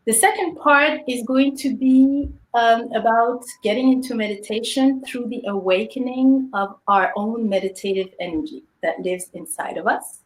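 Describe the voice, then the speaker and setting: A woman's voice: a little high, moderate pace, few pauses, a little hiss or hum. A woman from Canada co-hosting an online meditation session, explaining the plan in a friendly way with frequent ums. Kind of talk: warm welcoming introduction. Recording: roomy echoey sound.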